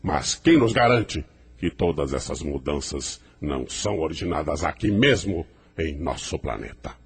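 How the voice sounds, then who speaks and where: A man's voice: very deep voice, animated, normal speed, husky, full character voice. An actor playing a defiant, grandiose rebel leader in an audio drama, declaiming pompously like an orator to his followers. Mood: rousing, defiant. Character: Azur, defiant rebel leader rallying crowds, grandiose and menacing.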